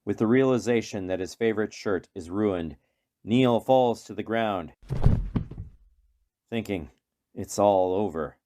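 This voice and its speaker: A man's voice: fairly low pitch, measured pace, pauses often, warm tone. A man reading scripted narration for a radio play in a dramatic storytelling manner.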